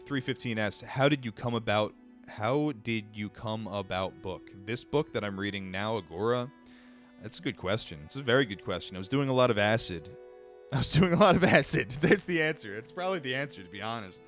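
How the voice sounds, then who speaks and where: A man's voice: lowish voice, animated, brisk, a bit bright, soft background music. A young man reading a book aloud on a livestream, stumbling over words now and then and breaking off to answer chat in a casual, blunt, swearing way.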